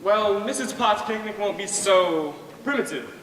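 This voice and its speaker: A young man acting an earnest, smitten college boy in a high school stage play. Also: lively intonation, unhurried, fairly bright tone. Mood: brisk, teasing. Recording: echoey hall, distant mic, noisy.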